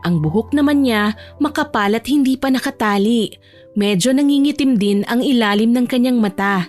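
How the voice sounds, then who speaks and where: High-voiced woman narrating a romance story. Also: normal speed, a little mellow, faint music behind.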